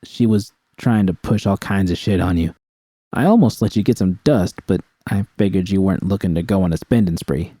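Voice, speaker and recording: Man voicing a coaxing, seductive woman, very mellow. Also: low-pitched, fairly quick, dry recording. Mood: reassuring. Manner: coaxing, purring. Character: teasing, reassuring, seductive woman friend.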